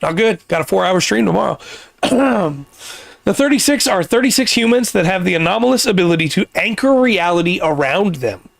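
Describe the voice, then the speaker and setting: A man hosting a casual podcast, explaining things at length with pauses and restarts, his voice getting worn. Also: high-pitched, expressive, gravelly, dry recording. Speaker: podcast host.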